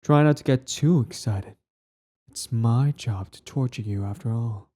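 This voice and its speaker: A man acting a cold, cruel young prince in an ASMR roleplay, condescending, menacing and deliberate, slipping toward soft whispers. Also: medium pitch, close to an everyday voice. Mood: mocking, sadistic. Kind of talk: ASMR roleplay drama, scripted monologue.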